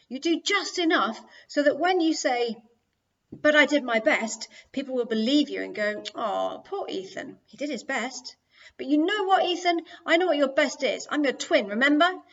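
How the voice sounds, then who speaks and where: A woman's voice: on the high side, fast, gravelly, hardly any pauses, distant mic, highs cut off. A woman voicing an angry young twin sister who scolds in an accusing, emphatic, mocking way in an audiobook. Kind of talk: audiobook character dialogue.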